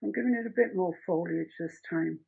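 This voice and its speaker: Woman, middle-aged, husky, chatty art teacher, home studio, dull lo-fi sound. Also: on the low side, fairly quick. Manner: chatty, rambling, practical. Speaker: painting teacher. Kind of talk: painting tutorial. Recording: muffled lo-fi home recording.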